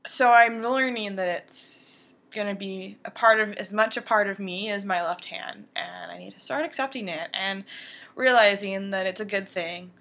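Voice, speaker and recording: A woman's voice: mid-range voice, moderate pace, vocal fry, fairly bright tone. A woman of twenty-two talking candidly to camera, stuttering now and then and repeating or cutting off words, earnest and open. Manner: earnest and open, with fillers and brief laughs. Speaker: vlogger. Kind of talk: candid personal talk to camera.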